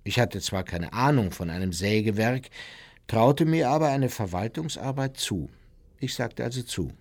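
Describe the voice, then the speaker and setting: An actor playing a former Nazi emigrant soberly reading out his memoirs in a measured, matter-of-fact radio docudrama style. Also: mid-range voice, expressive. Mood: measured, reflective.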